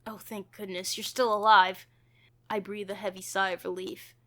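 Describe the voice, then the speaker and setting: A woman's voice: medium pitch, level tone, moderate pace, a bit bright, close to an everyday voice. Woman reading as an earnest male conductor. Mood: relieved. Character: earnest, determined male train conductor playing detective.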